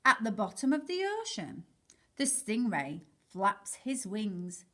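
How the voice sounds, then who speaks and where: Woman, unhurried delivery, mid-range pitch, expressive picture-book storytelling for young children. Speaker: primary school teacher reading a picture book aloud.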